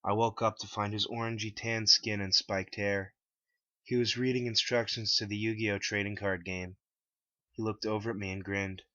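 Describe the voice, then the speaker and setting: A man's voice: on the low side, little pitch movement, moderate pace, sharp tone, dry recording. A man reading his own first-person story aloud as a dramatic narration. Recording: dull lo-fi dry home recording.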